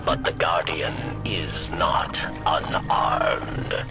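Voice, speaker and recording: A man playing an old, wise alien leader in a video game, speaking in a measured, solemn and formal way. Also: very deep voice, creaky, over music, heavily acted.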